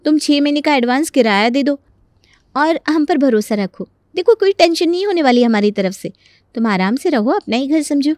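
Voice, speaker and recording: A woman playing a hopeful, scheming young daughter-in-law in a Hindi animated story, smooth-talking and reassuring. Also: high-pitched, normal speed, no room sound, studio quality. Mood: coaxing. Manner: coaxing, reassuring.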